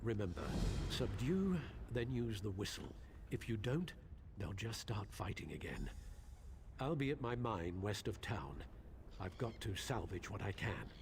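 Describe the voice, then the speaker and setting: A man's voice: lowish voice, big pitch swings, a little slow, noisy background. A man voicing a timid, hesitant mine keeper who is hurt and pleading, in a video game. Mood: urging. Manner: hesitant, stammering.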